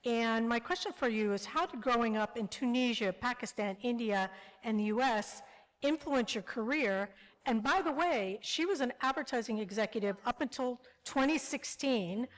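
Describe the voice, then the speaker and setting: A woman's voice: fairly high pitch, moderate pace. A woman moderating a panel, asking questions on stage in a prompting, welcoming way. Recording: long hall reverb.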